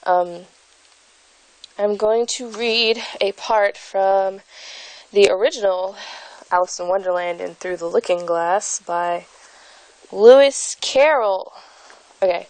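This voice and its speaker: A woman's voice: mid-range voice, slow, pauses often, a little mellow, no echo, rough recording. A young woman vlogging from home, rambling and self-conscious with frequent giggles, filmed on a phone held at arm's length. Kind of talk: casual rambling vlog.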